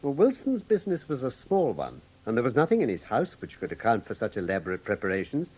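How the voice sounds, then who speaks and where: An actor in a British radio drama playing a coolly analytical gentleman detective with an English accent and a precise, assured manner. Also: medium pitch, huge pitch swings, fast talker, slightly rough. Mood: explanatory, assured.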